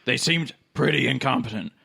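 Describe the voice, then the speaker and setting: Very creaky, husky, bright-toned man. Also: mid-range voice, fairly quick, no room sound, clean studio sound. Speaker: tabletop game player. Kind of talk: casual improvised in-character dialogue.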